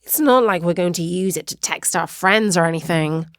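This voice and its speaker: A woman's voice: fairly low pitch, normal speed, clean tone, dry recording, studio quality, voice pushed down, lightly acted. A woman voicing a frustrated schoolgirl pupil in a storybook, questioning and challenging. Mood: curious, frustrated. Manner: challenging, exasperated.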